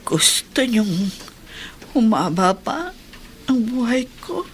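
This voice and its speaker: Remorseful, sickly older Filipino aunt, slow-speaking. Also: female voice, on the high side, very animated, slight background noise. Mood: bitter guilt. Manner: emotional, repentant. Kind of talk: Filipino radio drama acting.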